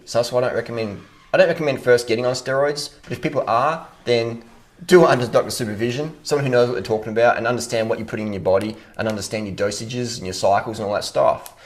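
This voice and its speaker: A man speaks off the cuff at a middling pitch, like an online commentator answering his viewers. He is blunt and opinionated, swears freely, and hammers points home by repeating them. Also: slight background noise. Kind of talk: casual unscripted rant.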